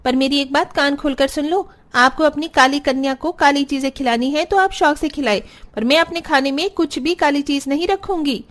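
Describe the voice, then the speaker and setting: A woman's voice: high voice, moderate pace, few pauses, a bit bright. A woman voicing a spiteful, haughty young sister-in-law in a cartoon story, bossy and scornful. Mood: angry, scornful. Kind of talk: Hindi cartoon story dialogue.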